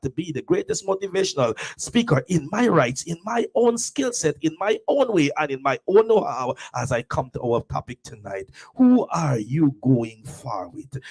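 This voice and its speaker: A man with a Guyanese accent delivers a live motivational talk. He speaks in a slightly high voice and preaches in repetitive, swelling phrases. He often breaks in with an emphatic "oh yes.". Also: darkish tone, rough recording. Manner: preachy, repetitive, builds with rising exclamations; frequent "oh yes" interjections.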